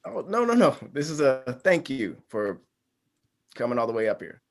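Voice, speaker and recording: Man as easygoing groom; middle pitch, hesitant, dry, self-deprecating comedy read. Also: sing-song, moderate pace. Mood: playful. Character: awkward, self-deprecating, good-natured young groom. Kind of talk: comedy script table read.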